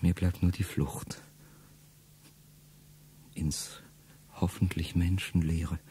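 A middle-aged man speaking a first-person radio play monologue in a low, intimate way that often sinks to a whisper. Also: level tone, slow pace, warm tone.

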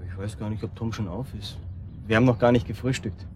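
An actor playing a self-doubting young man who speaks haltingly in naturalistic radio drama. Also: on the low side, darkish tone, close to an everyday voice. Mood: guarded, polite. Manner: halting, repeating himself. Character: Bernie, hesitant, self-doubting young man burdened by guilt. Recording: noticeable background noise and ambience.

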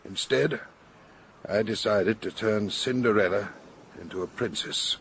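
An actor playing a wealthy, strong-willed older English gentleman in a radio drama, speaking bluntly and formally. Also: lowish voice, a little slow, a little hiss or hum. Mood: firm, defiant.

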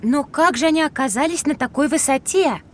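A woman's voice: sits high, brisk, slight background noise, close to an everyday voice. A woman voicing a curious, sceptical young schoolgirl with an earnest, inquisitive manner in an educational audio drama.

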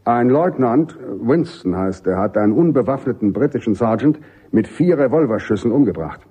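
Army general, male, middle-aged: mellow, slightly rough voice, jovial authority, emphatic radio-play delivery. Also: medium pitch, animated, normal speed. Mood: persuasive, brisk. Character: commanding American army general, genial but insistent. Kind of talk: German radio drama acting.

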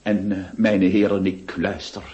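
Polite formal gentleman, deep-ish dark voice, measured, dull lofi radio drama. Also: level tone, soft background music. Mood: formal, reserved. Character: formal, agreeable gentleman challenged to a duel. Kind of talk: Flemish radio play acting.

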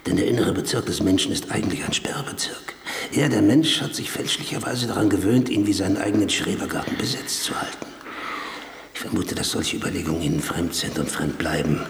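An actor playing a vain, world-weary philosopher-writer in a radio drama, sardonic, pompous and speaking in provocative aphorisms. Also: male voice, sits low, brisk, creaky, whispered. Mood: mocking. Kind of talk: German radio drama acting.

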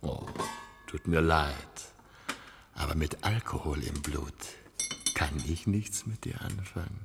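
A low-voiced, sinister professor in an old radio drama. He is callous and mocking, ordering people about in a creaky, gravelly voice. The recording has clear background noise. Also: takes it slowly, full character voice. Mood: gloating, sinister. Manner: mocking, bossy. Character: sinister, gloating male professor, imperious and callous. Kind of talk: German radio play acting.

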